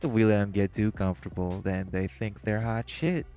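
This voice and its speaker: A man's voice: lowish voice, normal speed, loud music behind the voice, natural voice. A man voicing a sly, mocking male gangster, in an audio roleplay. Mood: smug. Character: smug, scheming male gangster, sly and teasing.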